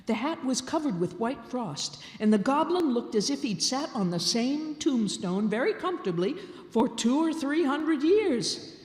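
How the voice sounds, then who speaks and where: Slow, deliberate woman storyteller. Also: sits high, very animated, darkish tone. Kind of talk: dramatic reading of a classic story. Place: large town hall stage, live show. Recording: roomy hall reverb.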